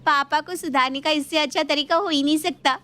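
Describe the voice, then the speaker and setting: A playful young daughter from a cartoon family story. She is chatty and outspoken, teasing in a fairly high voice. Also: fairly even intonation, a little fast, bright, forceful tone. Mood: gleeful. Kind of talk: Hindi animated moral story acting.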